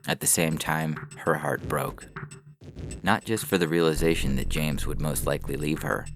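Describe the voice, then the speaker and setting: Very deep, gravelly male narrator. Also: fairly even intonation, normal speed. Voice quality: gravelly, creaky. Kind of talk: scripted science fiction story narration. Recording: clear background noise with clicks.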